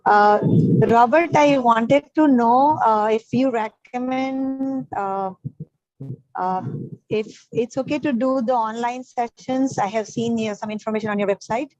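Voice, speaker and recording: An Indian woman with a slightly high voice asks a question as an audience member. Her delivery is spontaneous and hesitant, with very little room sound. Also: unhurried, darkish tone, no room sound, lo-fi sound.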